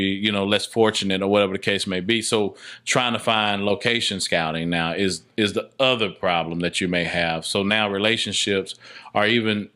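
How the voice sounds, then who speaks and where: Forceful, bright-voiced man with lowish pitch. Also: clean tone. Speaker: guest, indie filmmaker and casting director. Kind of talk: casual podcast conversation.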